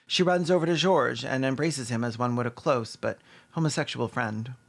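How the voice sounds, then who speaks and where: Sardonic, higher-pitched male commentator. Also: vocal fry, fairly bright tone. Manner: sardonic, mocking, acts out dialogue. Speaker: host of a video commentary channel. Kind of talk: sarcastic film recap commentary.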